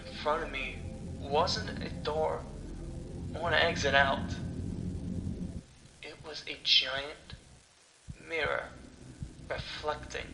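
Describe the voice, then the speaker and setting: A man narrating a first-person horror story dramatically, with halting delivery, pauses and breathy asides. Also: medium pitch. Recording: echoey sound with music underneath. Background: music.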